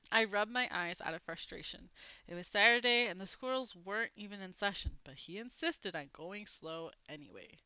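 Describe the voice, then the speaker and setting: A woman reading a children's novel aloud with expressive, dramatic emphasis. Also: medium pitch, moderate pace, smooth, dry recording, lo-fi sound. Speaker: storybook reader.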